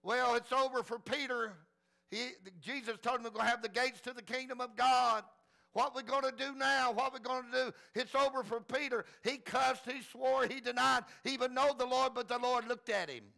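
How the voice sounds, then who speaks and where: A man's voice: very high voice, steady tone, moderate pace, gravelly, bright, forceful tone. A man preaching a fervent sermon in a folksy, plain-spoken way, repeating phrases for emphasis. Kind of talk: fervent sermon retelling Bible stories.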